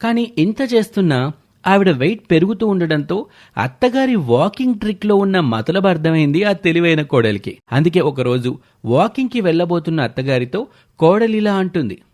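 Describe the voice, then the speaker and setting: Casting: male storyteller for scripted moral-tale narration, voice on the higher side. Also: animated, moderate pace, darkish tone.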